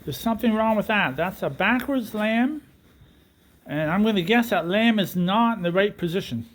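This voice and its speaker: A man's voice: sits high, animated, normal speed. A middle-aged man, a sheep farmer, chatting casually and cracking folksy jokes while he works. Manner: joking, tells folksy one-liners. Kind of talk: casual chat and farm narration. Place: farm barn and fields. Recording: faint farm background noise.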